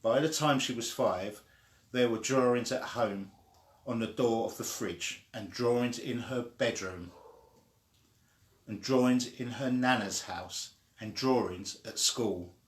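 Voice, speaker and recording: Slow-reading male storyteller in an echoey room. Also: mid-range voice. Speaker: storyteller reading a children's book. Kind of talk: slow read-aloud storytelling.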